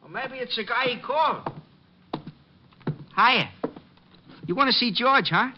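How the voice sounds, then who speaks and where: High-voiced, nonchalant radio gangster. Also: male voice, animated, a little slow, slight background noise. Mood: casual. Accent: American. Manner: slangy, streetwise, nonchalant. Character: casual, mocking American gangster who thinks of food. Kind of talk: 1940s-50s radio crime drama acting. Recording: dull old-time radio recording.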